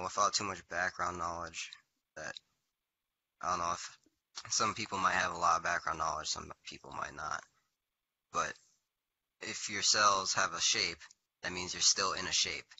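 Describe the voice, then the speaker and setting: A man's voice: sits low, sharp tone, husky, lo-fi sound. A young man in his late teens, a guest on the show, answering in a hesitant way with many ums over a video call. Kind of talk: interview answers.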